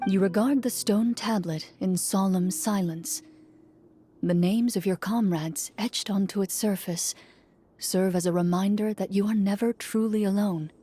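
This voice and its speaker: An actress playing a composed, gently wry female duelist in a fantasy video game, speaking in a measured, poised way. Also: mid-range voice, faint music behind, lightly acted. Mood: solemn narrating. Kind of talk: video game acting, storytelling.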